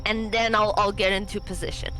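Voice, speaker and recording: A woman's voice: mid-range voice, little pitch movement, fairly quick, pushed and bright, faint music behind, poor audio. A woman playing a tabletop roleplaying game, casually working out moves with the group.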